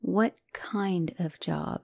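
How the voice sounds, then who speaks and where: A woman's voice: on the low side, extremely slow, vocal fry, a little mellow, slightly rough, dry recording, exaggerated voice. A woman voicing an anxious, self-doubting young spider-woman girlfriend in an ASMR roleplay, speaking hesitantly and emotionally and often slipping toward a whisper. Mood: alarmed, fearful.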